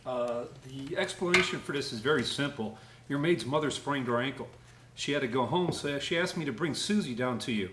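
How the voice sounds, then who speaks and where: A woman with a mild, hesitant manner playing a polite, apologetic gentleman in a radio play. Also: a bass voice, expressive, brisk. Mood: friendly. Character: polite, apologetic, well-meaning gentleman neighbour. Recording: roomy, echoing sound.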